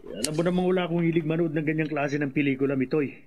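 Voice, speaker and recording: A man's voice: fairly high pitch, rapid-fire, a little mellow, a little hiss or hum, close to an everyday voice. An actor playing a frightened, guilt-ridden man in a Filipino radio drama. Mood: insistent, fearful.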